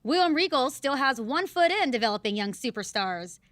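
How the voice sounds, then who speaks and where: A woman's voice: high voice, very animated, moderate pace, a bit bright, dry recording. A woman playing a teasing wrestling broadcast host, delivering scripted panel commentary in a game. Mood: teasing, brisk.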